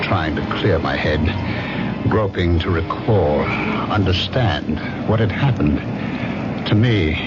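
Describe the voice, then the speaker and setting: A deep male voice narrates a radio thriller as a haunted, cold-blooded killer. He speaks in measured, clipped fragments. Loud music plays beneath the dull vintage recording. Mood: dazed, haunted. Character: haunted, confused man turned killer, coldly recounting his crime. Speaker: lead actor and narrator. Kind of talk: radio drama first-person narration. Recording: muffled old radio drama recording, music behind.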